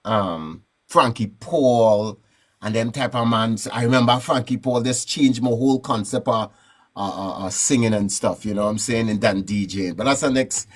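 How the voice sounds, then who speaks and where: A man from Trinidad with a Trinidadian accent, telling stories in a relaxed, rambling way as a guest in a casual interview. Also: mid-range voice. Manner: relaxed, rambling, frequent "you know what I'm saying". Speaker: guest musician.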